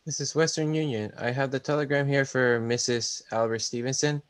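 A man's voice: mid-range voice, steady tone, fairly quick, no room sound, close to an everyday voice. A man playing a businesslike telegraph clerk in a radio thriller, formal and matter-of-fact. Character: businesslike male telegraph clerk reading a message. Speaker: actor in a student radio play.

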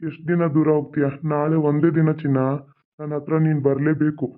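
A man's voice: a little high, level tone, brisk, lightly acted. A man playing a sneering village villain, tinny. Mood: threatening. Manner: sneering, mocking, menacing. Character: scheming adult male village villain. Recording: tinny phone-like sound.

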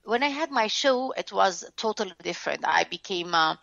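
A woman's voice: medium pitch, expressive, moderate pace, vocal fry, fairly bright tone, no room sound, highs cut off. A woman with a Lebanese accent, a former TV host, frankly telling her life story in an interview, full of fillers and restarts. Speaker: guest, author and former TV host.

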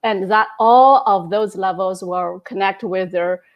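Soft-spoken woman with a Chinese accent. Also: mid-range voice, highs cut off. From China. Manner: warm, measured, frequent soft laughs. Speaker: guest, intimacy coach. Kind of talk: video-call interview. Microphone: wired earbud headset on a video call.

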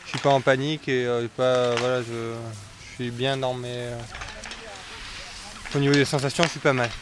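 A young man athlete talking in a post-race interview outdoors at a snowy venue, measured and pausing often to find his words. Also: medium pitch, level tone. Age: young adult. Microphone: camera mic close to the face. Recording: outdoor noise in the background.

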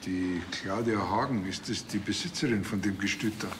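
A man's voice: fairly low pitch, moderate pace, noisy background, natural voice. An actor playing a naive, chatty, grumbling small-town policeman with a Bavarian accent and colloquial, rambling talk.

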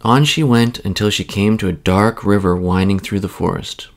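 A man narrating a children's bedtime story in a measured, expressive read-aloud style. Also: fairly low pitch.

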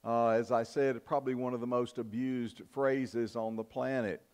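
An older man preaching a sermon in a church, in a measured, earnest style with emphatic pauses. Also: medium pitch, steady tone, a little mellow, clean tone. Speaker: pastor. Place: church sanctuary, at the pulpit. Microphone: fixed camera from the back of the church.

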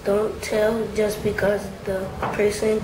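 Teen girl, slow and halting, flat tone, echoey room, distant mic. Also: mid-range voice, noisy background. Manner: halting, searching for words. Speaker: youth program member.

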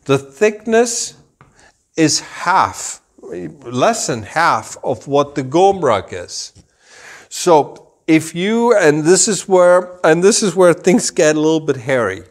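A man in his forties, raised in Germany, a rug dealer explaining things to camera in a showroom, slow and deliberate with gestures. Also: fairly high pitch, big pitch swings. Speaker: rug dealer and channel host. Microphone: camera at a few meters, full body framing.

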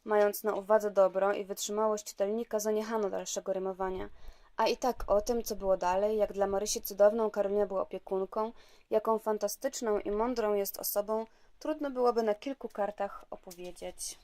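A woman's voice: mid-range voice, fairly even intonation, fairly quick, dark tone. A woman with a mid-range voice reads a rhymed fairy tale aloud in a storybook manner. She breaks off now and then for plain, chatty remarks about her handmade album. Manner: storybook reading voice that slips into plain chatty asides. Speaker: craft maker presenting her handmade album.

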